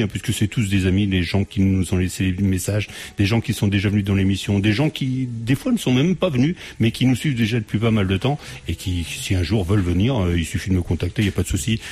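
A low, slightly husky man talks with a guest in a radio studio. He is chatty and loose, laughs often and pads his sentences with fillers. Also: a little mellow. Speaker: radio show host. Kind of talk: live radio studio chat.

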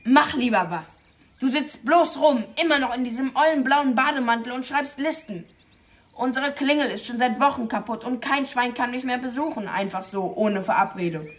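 A man's voice: very high voice, normal speed, fairly bright tone. A young male actor playing a sullen, angry teenage schoolboy in a radio drama, with defiant, slangy talk. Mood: angry, accusing. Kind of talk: German radio crime drama acting.

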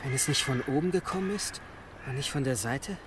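An actor playing a brooding, resolute man lost in thought in a German audio drama, musing and deliberate. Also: mid-range voice, noisy background, close to an everyday voice.

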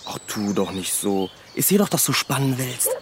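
A man's voice: medium pitch, sing-song, moderate pace, a little hiss or hum, close to an everyday voice. An actor playing a cheeky, flippant man loitering in a park in a German radio drama, chatty and teasing. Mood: cheeky, needling.

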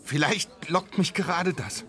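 An actor playing a restless, inquisitive doctor in a radio play, questioning in an urgent, brooding way. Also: male voice, a little high, measured pace, bright, forceful tone, a faint crowd. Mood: urgent, insistent. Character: restless, inquisitive doctor drawn to forbidden adventure.

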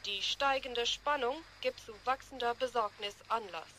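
A woman's voice: on the high side, normal speed, bright, forceful tone, slight background noise. A woman playing a sober radio newsreader, giving a formal, factual bulletin in a radio play.

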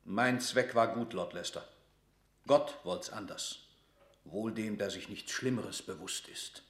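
A man's voice: fairly low pitch, measured pace, fairly bright tone, reverberant. A cold male statesman at a royal court, in classical radio drama style. His declamation is formal, cutting and commanding, and the room rings around him. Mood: bitter sarcasm.

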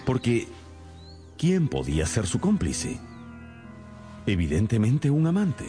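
An actor playing a shrewd, self-assured detective in a radio drama, explaining things in a deliberate, theatrical manner. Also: male voice, mid-range voice, huge pitch swings, mellow, soft background music. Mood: assured, explanatory.

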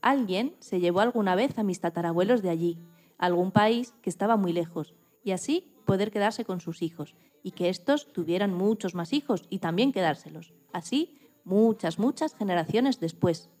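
A woman's voice: mid-range voice, moderate pace, darkish tone. A woman reading a scripted first-person story as a contributor to a radio programme.

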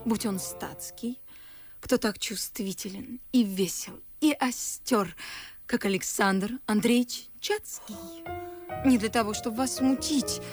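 An actress playing a pert, shrewd young maidservant, chatty and teasing, in a folksy theatrical radio play style. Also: female voice, fairly high pitch, takes it slowly. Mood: teasing, nostalgic. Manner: theatrical, folksy, deferential yet cheeky. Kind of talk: radio play acting of classic verse comedy. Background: faint music.

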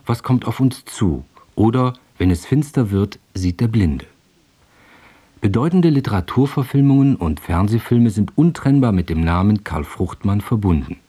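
A man's voice: on the low side, expressive, normal speed, warm tone, slightly rough. A man working as a radio announcer, introducing a play in a formal scripted presentation.

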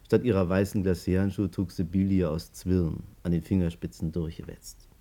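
A man's voice: low voice, flat delivery, normal speed, mellow. A man acting a wry narrator in a 1950s radio drama, confiding a long saga to his listeners in theatrical fashion.

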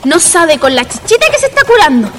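A woman's voice: very high voice, big pitch swings, brisk, background noise. A woman playing a reassuring, cheeky young Chilean girl in a radio drama. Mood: amused, teasing. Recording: music under the voice.

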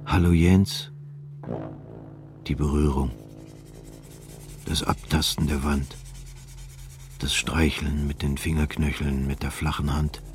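A man narrating a radio drama in a measured, sober way. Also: rumbling low, fairly even intonation, a little hiss or hum.

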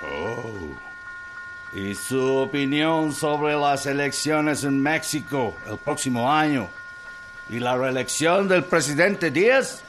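An actor playing an inquisitive newspaper reporter in a historical radio drama, questioning in a deliberate way. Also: male voice, mid-range voice, expressive, over music.

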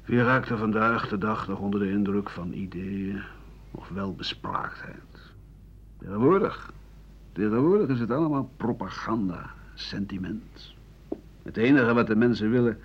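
An actor playing a bitter, cynical old French doctor and writer in a radio play, rambling and scornful with muttered asides. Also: male voice, fairly low pitch, slightly rough. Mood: disillusioned. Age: older. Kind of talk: radio play monologue in interview form. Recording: muffled, noisy 1980 radio drama recording.

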